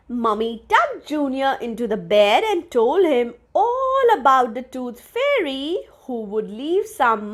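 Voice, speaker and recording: High-pitched woman reading a children's story. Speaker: storybook reader for young children. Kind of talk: expressive read-aloud of a children's story.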